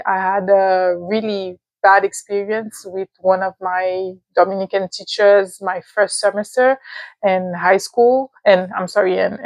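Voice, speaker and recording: A young woman with a Haitian accent, a native speaker of Haitian Creole and French, giving a thoughtful advice talk to camera with pauses and fillers. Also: mid-range voice, a little mellow, no echo. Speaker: vlogger.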